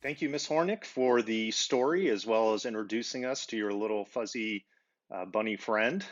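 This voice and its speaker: A man's voice: fairly low pitch, normal speed. A man hosting a children's story show, giving thanks and instructions in an informal way. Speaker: host. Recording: dull, lo-fi sound.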